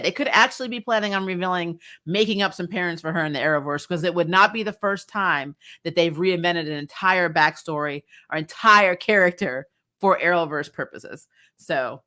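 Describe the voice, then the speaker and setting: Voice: medium pitch, bright, forceful tone. A middle-aged host chatting casually and bluntly through a TV review, often exasperated and swearing freely. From grew up on military bases. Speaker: host of a TV recap show. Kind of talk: casual opinionated review chat.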